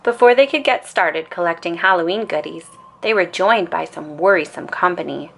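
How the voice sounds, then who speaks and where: A woman reading a children's story aloud in an expressive bedtime storytelling style. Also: fairly low pitch, moderate pace, gravelly.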